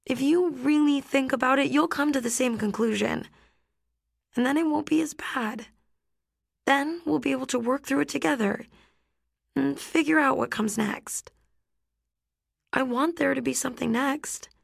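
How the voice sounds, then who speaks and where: A woman reading an audiobook, voicing a gentle teenage girl who speaks softly and sounds close to tears. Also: sits high, normal speed, gravelly, pauses often, no echo, pitched above the performer's own voice. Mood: gentle, pleading. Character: gentle teenage girl, tender but resolute. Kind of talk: audiobook character voice.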